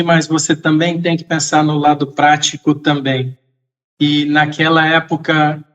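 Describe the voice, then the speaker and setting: Slow, high-voiced man telling a story. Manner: slow, measured, reflective, with occasional soft laughs. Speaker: podcast guest. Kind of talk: casual storytelling in an interview.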